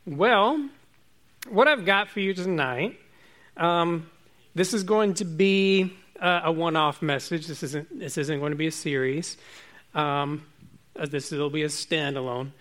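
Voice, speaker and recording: A man preaching a relaxed, informal sermon to his congregation, chatty and self-joking. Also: on the high side, unhurried.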